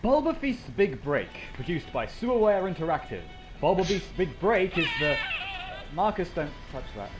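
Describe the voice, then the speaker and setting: A man's voice: sits high, big pitch swings, moderate pace, over music, rough recording. A man playing a grumpy, awkward co-host in a comedy skit, hesitant and literal-minded. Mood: announcing. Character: grumpy, awkward male co-host fussing over recording. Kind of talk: comedic animated audio skit.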